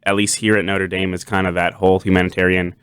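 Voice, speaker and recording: A man's voice: low-pitched, level tone, quick pace, fairly bright tone, clear, resonant tone, no echo. A young man from Puerto Rico hosting a podcast, talking casually in long, rambling sentences full of "like" and "kinda.". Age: young adult. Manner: rambling, many restarts and "like", "kinda". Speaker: podcast host. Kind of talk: casual conversation.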